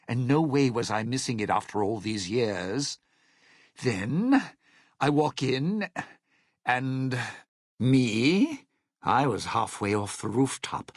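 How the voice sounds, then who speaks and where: A scheming, self-serving lounge crook from a video game. His voice is husky and creaky, with pitch that swings freely through wary, slangy wisecracks. The sound is sardonic and jaded. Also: dry recording, studio quality, exaggerated voice. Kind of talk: video game character dialogue.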